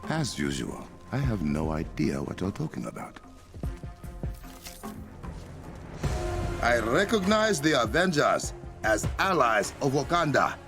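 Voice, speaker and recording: An actor playing a proud warrior king in a video game, speaking formally and with command in a gravelly voice. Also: sing-song, normal speed. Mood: proud, firm. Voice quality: deep, gravelly. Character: proud, regal warrior king and hero of an African nation. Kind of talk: cinematic video game acting. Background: faint music.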